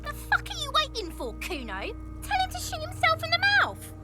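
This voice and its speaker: A foul-mouthed, jeering street kid in a video game. The voice sits very high and leaps all over the place as he taunts and swears. Music plays clearly behind. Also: huge pitch swings, a little fast, heavily acted. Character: foul-mouthed taunting boy in a teen gang.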